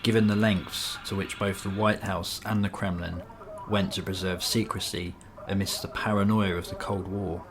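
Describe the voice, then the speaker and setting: Deep-voiced guy narrating a documentary script, bit of noise behind him. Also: little pitch movement, normal speed. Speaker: narrator reading a nonfiction book excerpt.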